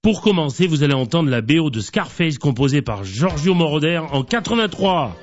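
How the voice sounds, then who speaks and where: A man hosting a radio interview, talking slowly with stumbles and repeated words. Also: on the high side, very animated, dull audio, soft background music. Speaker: radio host.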